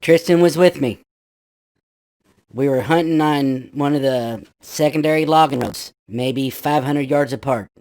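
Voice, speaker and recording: Man, pitched-up childlike voice effect, irritable, profane, dry close sound. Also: a little slow, a little mellow, dry recording. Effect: pitched-up voice changer, childlike. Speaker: guest storyteller. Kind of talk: casual chat.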